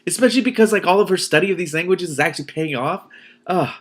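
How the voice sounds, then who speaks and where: An expressive, excitable man reading a book aloud as a host, breaking in with his own commentary. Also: sits high, quick pace. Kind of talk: expressive audiobook-style reading with spontaneous commentary.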